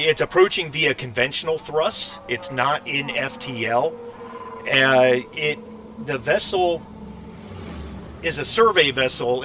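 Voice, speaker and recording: A man with a bright, forceful voice leads a tabletop sci-fi game. He speaks haltingly, restarting and repeating phrases as he improvises a briefing. There is faint noise behind him. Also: on the high side. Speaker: game master.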